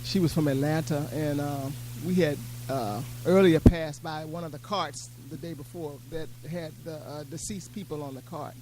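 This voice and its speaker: A man's voice: fairly high pitch, steady tone, moderate pace, few pauses, background noise. A man telling a story informally to an audience in a plain, matter-of-fact way. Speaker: panel speaker sharing pilgrimage experiences.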